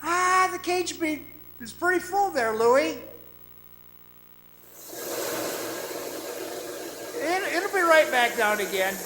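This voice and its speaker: An actor playing a cocky young mine guide in a radio drama, folksy and coaxing, moving between narration and dialogue. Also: male voice, extremely high pitch, expressive, measured pace, pushed and bright, a little hiss or hum, heavily acted, rough recording. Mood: breezy, coaxing. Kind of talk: old-time radio drama acting, part narration, part dialogue.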